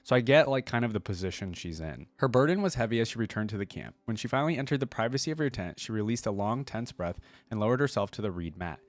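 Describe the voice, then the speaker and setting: A man's voice: lowish voice, lively intonation, quick pace, hardly any pauses, no echo. A man hosting a reaction video, reading a story aloud and commenting on it in a casual, slangy way. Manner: casual, slangy, thinking aloud between passages.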